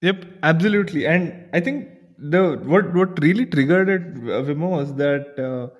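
A man's voice: fairly high pitch, normal speed, lo-fi sound. A man with an Indian accent hosting a casual podcast conversation, chatty and given to linked asides. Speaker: podcast host.

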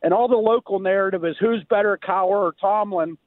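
An American man phoning a radio show with casual sports opinions, speaking in a halting way over a phone line. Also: high-pitched, no echo. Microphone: telephone. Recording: narrow tinny phone line.

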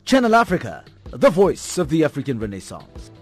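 A man's voice: fairly high pitch, huge pitch swings, fairly quick, loud music behind the voice. A man reading a radio station promo with a bouncy, emphatic delivery. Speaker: station announcer.

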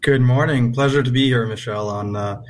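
A man's voice: medium pitch, brisk. A man speaking conversationally as a guest investor in an interview.

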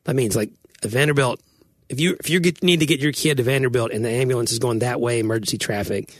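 Dude cohost, kinda husky voice, chatty storyteller, dry close mic. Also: male voice, medium pitch, fast talker, no room sound. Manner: chatty storyteller, laughs often. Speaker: podcast co-host. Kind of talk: casual chat.

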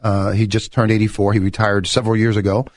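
A middle-aged man from New York speaks as a candidate being interviewed on radio. His voice is fairly low and conversational, in a dry recording. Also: level tone, fast, dry recording. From New York, United States. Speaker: interview guest, political candidate.

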